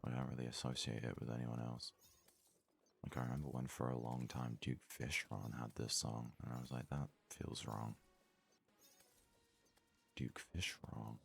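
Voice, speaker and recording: A man doing hushed ASMR gaming commentary with a gravelly, husky voice, casually musing aloud close to the microphone. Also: very deep voice, level tone, moderate pace, pauses often, a little hiss or hum. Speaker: let's play host.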